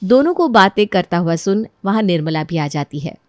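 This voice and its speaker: A woman's voice: lowish voice, very animated, moderate pace, darkish tone, dry recording. Woman narrating, dry close sound. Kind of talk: scripted story narration.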